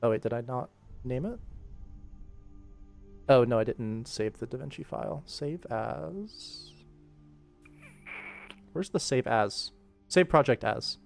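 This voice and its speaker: A man's voice: mid-range voice, slow pace, vocal fry, pauses often. A man giving casual livestream commentary, informally thinking aloud as he works on an animation production. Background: music.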